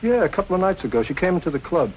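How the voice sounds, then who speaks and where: An actor playing a gossipy, deferential nightclub employee in 1940s film noir style. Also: male voice, sits high, sing-song, rapid-fire. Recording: dull, noisy old film soundtrack.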